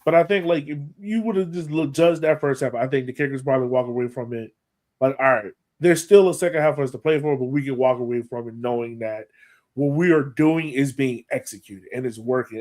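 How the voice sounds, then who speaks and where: A man hosting a sports podcast, talking through a match in an animated, casual way and often checking his points with a quick "right?". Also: mid-range voice. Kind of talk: casual match analysis chat.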